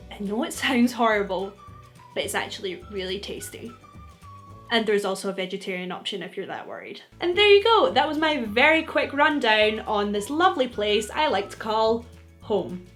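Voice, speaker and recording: A woman's voice: mid-range voice, normal speed, soft background music. A young Scottish woman with a Scottish accent, giving a chatty, playful scripted explainer in a vlog. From Scotland. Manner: chatty, playful, lively asides.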